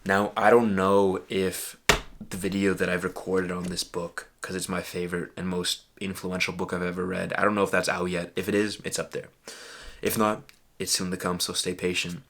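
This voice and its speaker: A man's voice: low voice, little pitch movement, moderate pace, fairly bright tone, slight background noise. A young man giving casual, earnest motivational advice to camera as a fitness vlogger, emphatic and peppered with "bro" and "literally.".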